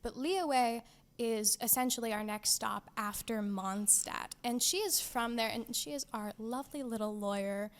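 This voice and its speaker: A woman's voice: a little high, unhurried. A young woman hosting a casual podcast, chatting about a video game in a playful, gushing, enthusiastic way. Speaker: podcast host.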